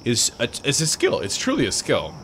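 A man in casual chat, relaxed and thoughtful, letting his sentences trail off. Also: mid-range voice, a little fast, a little hiss or hum.

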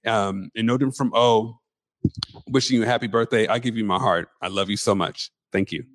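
A man hosting a casual chat show, lively and animated in his talk. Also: lowish voice, fairly quick, no echo, studio quality. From Pacific Northwest. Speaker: host.

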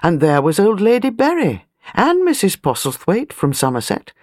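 A woman's voice: medium pitch, huge pitch swings, moderate pace, a little mellow, no room sound, studio quality. A British woman reading a novel as an audiobook narrator, precise and wry. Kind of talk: scripted literary narration.

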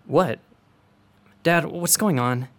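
A man's voice: fairly high pitch, slow, a bit bright. A young man acting a guarded son in a radio drama. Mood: anxious.

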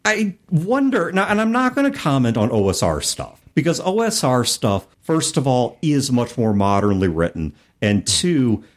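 A man co-hosting a podcast in a casual, explanatory way, animated and expressive as he talks and reads aloud. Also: mid-range voice, moderate pace, hardly any pauses. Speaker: podcast co-host. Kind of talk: casual chat about tabletop gaming, reading passages aloud.

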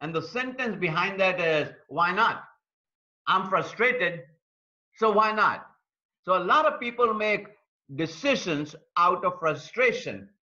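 A man preaches to an online congregation in a high, bright voice. He stacks repeated phrases and addresses viewers directly as he builds each point. The stream audio sounds dull and lo-fi. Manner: repetitive, emphatic preaching cadence. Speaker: pastor. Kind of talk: online sermon. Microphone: streaming call mic.

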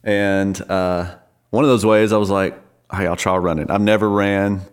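A man in his forties with a very deep voice talks as a podcast guest. He is easygoing and self-deprecating, with plenty of ums and you-knows. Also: level tone, normal speed, clean tone. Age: 40s. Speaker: worship leader, podcast guest. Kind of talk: casual interview conversation.

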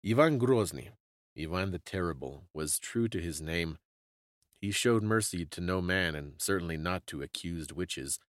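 A man giving a dramatic, scripted story reading in a measured, solemn and theatrical way. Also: sits low, animated, dry recording, studio quality.

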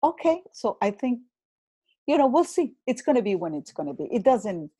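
A woman with a Venezuelan Spanish accent, a native Spanish speaker, chatting as a guest business coach in a podcast interview. She speaks in a slow, reflective way, with many fillers and repeated words. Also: fairly high pitch, very animated, warm tone, slightly rough, no room sound. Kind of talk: casual interview conversation.

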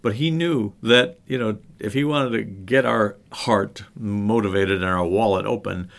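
A man's voice: on the low side, unhurried, creaky. A middle-aged man, a researcher telling anecdotes in a thoughtful, conversational way during a panel discussion.

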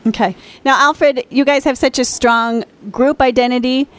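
A woman hosting a radio show, asking guests questions briskly and upbeat. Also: sits high, steady tone, a bit bright. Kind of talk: radio interview.

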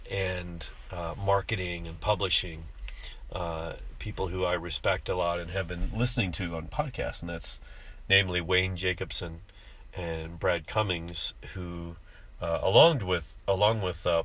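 Male podcast host, very low voice, stuffy-nosed, rambling aloud from behind the wheel. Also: steady tone, a bit bright. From living in Japan. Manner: halting, many "uh" fillers and restarts. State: congested from allergies. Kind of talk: off-the-cuff monologue while driving. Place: in a car.

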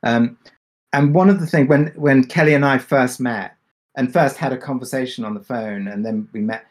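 A man with a mid-range voice talks as a podcast creator on a panel. He is reflective and thoughtful, often restarting a phrase or repeating a word before he carries on. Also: mid-range voice. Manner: thoughtful, halting, repeats words mid-sentence.

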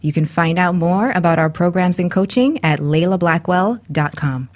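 Lower-pitched woman hosting flirty radio talk. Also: moderate pace. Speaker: radio show host, intimacy coach. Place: radio studio.

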